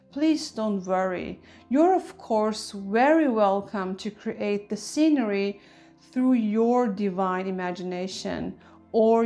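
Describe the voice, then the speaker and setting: A woman guides a meditation in a gentle, soothing ASMR manner. She takes her time, leaving deliberate space between phrases at a very slow pace. Her voice sits at a medium pitch, with soft music behind it. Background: soft music with chimes.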